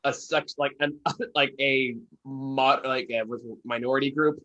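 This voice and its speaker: A man's voice: medium pitch, steady tone, unhurried, bright, forceful tone, muffled recording. A man co-hosting a casual movie review, speaking informally with an opinionated, sardonic delivery. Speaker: film reviewer and podcast co-host.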